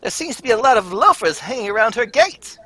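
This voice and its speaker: Loyal radio-drama sidekick played by a woman: earnest, husky, hugely animated delivery. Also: a little high, fast talker, dry recording, exaggerated voice. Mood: anxious, doubtful. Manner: earnest, excitable. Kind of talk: old-time radio drama script read live.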